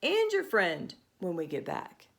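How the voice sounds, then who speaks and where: A woman voicing a hurried, gruff but kindly male pizza baker in a storybook, loud and exclaiming. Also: fairly low pitch, wildly swooping pitch, voice pushed down, heavily acted. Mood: relieved, pleased.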